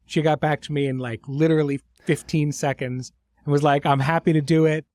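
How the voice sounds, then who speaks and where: A man's voice: fairly high pitch, fairly even intonation, brisk, darkish tone, no room sound. A middle-aged man hosting a podcast in an informal, conversational way, effusive with his praise. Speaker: host and series creator.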